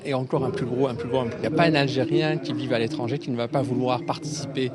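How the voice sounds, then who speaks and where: Man, casual interview, informal, medium pitch, very loud crowd behind. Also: steady tone, quick pace. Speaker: event participant being interviewed.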